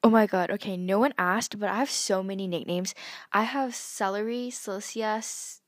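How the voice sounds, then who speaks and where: A woman's voice: medium pitch, moderate pace, dry recording, clean studio sound. A teenage girl rambling about herself in a casual podcast at home, giggling and joking at her own expense. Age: teens. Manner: giggly, self-mocking, chatty. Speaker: podcast host. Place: home bedroom at night.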